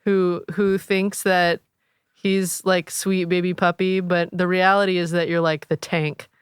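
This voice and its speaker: Woman, podcast co-host, smooth clear voice, teasing and chatty, dry mic. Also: on the low side, level tone, a little slow, no echo. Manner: warm teasing, full of "like" fillers. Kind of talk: casual chat.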